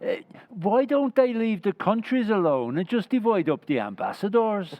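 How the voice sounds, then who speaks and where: An actor playing a dim-witted, slow-talking barfly in a 1940s-style radio comedy. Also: male voice, high voice, sing-song, muffled recording. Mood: puzzled. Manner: slow, dopey drawl.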